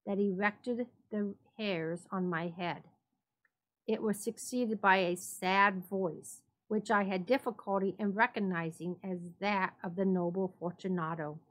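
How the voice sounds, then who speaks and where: A woman gives a dramatic reading of a written story. Her pitch sits in the middle range as she narrates from the script. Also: fairly even intonation, unhurried, a bit bright. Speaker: library staff story reader.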